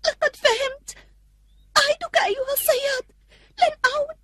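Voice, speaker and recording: A woman playing a gentle, polite young princess in a dubbed cartoon, with a plaintive, expressive delivery. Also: very high-pitched, a little fast, dry recording, clean studio sound.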